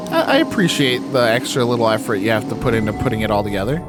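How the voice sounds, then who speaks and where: Young male streamer, sniffly, animated pitch, playful read-aloud, loud game score behind. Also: rapid-fire, over loud music. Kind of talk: casual live game commentary.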